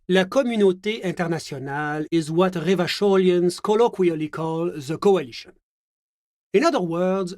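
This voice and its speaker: An actor playing a smug, genial bureaucrat in a video game, speaking with a French accent in a suave, measured, politely condescending manner. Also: male voice, fairly high pitch, dry recording, studio quality. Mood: smug, patient. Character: smug, genial male bureaucrat, unflappable moralist technocrat. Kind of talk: video game character dialogue.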